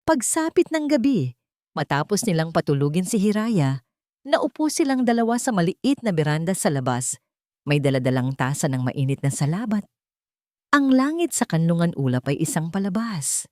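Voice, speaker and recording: A low-voiced woman storyteller reads a sentimental love story. Her delivery is measured and expressive, with lively intonation, and the recording is close and dry. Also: dry recording.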